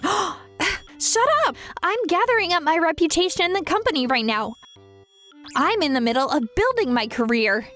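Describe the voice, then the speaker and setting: Woman acting a high-voiced feisty young career woman. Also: lively intonation, moderate pace, fairly bright tone, soft background music. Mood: indignant. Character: feisty, proud young career woman, secretly vulnerable ex-girlfriend. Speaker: voice actor in a manga dub. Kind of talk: dramatized romantic comedy dialogue.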